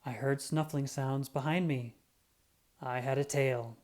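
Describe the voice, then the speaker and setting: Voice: medium pitch, unhurried. A storybook narrator reading a children's picture book aloud expressively and dramatically, as a self-important cat detective telling a tale. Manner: expressive, dramatic, acts out sound effects.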